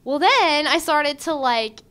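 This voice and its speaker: A young woman from Michigan answering interview questions in a chatty, animated way with lots of ums and likes, into a handheld mic in an indoor room. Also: high voice, slow. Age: young adult. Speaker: job candidate. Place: indoor room before a banner. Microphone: handheld mic held by the interviewer.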